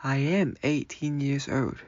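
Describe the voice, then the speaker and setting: A man's voice: medium pitch, measured pace, dull audio, background noise, lightly acted. A man playing an earnest, easily hurt eighteen-year-old who looks old, in a radio drama. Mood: earnest, insistent. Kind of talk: amateur radio drama acting.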